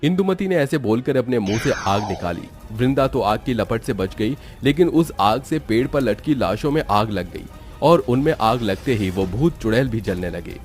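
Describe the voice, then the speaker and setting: A man's voice: medium pitch, animated, normal speed, darkish tone, faint music behind. A man giving scripted narration for a horror cartoon story.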